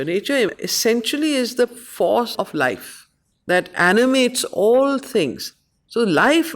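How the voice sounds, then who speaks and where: A woman with an Indian accent, facilitating a study circle, reading and explaining verse in short, measured phrases. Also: mid-range voice. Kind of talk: reading poetry aloud and explaining it to a study group.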